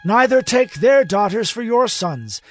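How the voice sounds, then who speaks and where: An actor playing a grieving, devout priest in a dramatized audio Bible, solemn and declamatory. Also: male voice, high-pitched, expressive, measured pace, fairly bright tone, soft background music. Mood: anguished, pleading. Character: grieving, devout priest pleading and admonishing his people.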